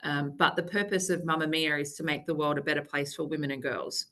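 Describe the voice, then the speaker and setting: A woman's voice: sits low, fairly even intonation, brisk, pushed and bright. A woman guest in a podcast interview, chatty and upbeat, laughing as she talks. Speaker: guest, company executive. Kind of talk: casual interview chat.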